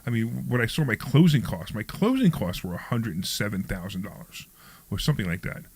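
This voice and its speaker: Fast-talking man in his sixties. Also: fairly low pitch, expressive, a little mellow, slightly rough, dry recording. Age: 60s. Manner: rambling, repeats himself, quick talker. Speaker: radio show host. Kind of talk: casual talk radio chat.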